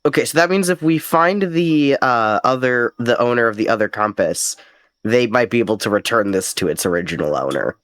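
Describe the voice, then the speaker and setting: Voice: medium pitch, lively intonation, fairly quick, fairly bright tone, no echo. A tabletop roleplaying player chatting casually through the game, with hesitant, wordy phrasing.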